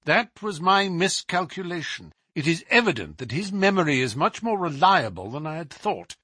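A man playing a composed, amiable gentleman detective, polite and formal, in a storybook reading. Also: fairly high pitch, sing-song, moderate pace, breathy edge, no echo, studio quality, voice pushed up, heavily acted. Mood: amused, musing. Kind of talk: dramatised audiobook reading.

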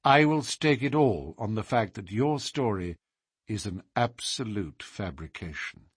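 A man voicing a courteous, assured gentleman detective with a measured, persuasive manner in an audiobook story. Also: fairly low pitch, expressive, husky, no echo, studio quality, full character voice. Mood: firm.

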